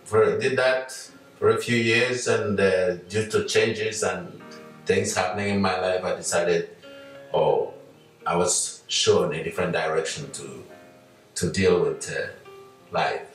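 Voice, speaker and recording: An older man from Nigeria, a guest on an interview show, telling his life story slowly with many pauses and fillers. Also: low-pitched, heavy reverb, far from the mic, rough recording. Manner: slow, hesitant with many fillers. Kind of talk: relaxed reflective storytelling.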